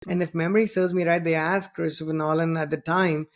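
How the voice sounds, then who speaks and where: A man's voice: on the high side, little pitch movement, a little fast. Talkative man, fillers and restarts. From southern India. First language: Tamil. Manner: rambling, many fillers and restarts. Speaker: podcast host. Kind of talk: conversational interview.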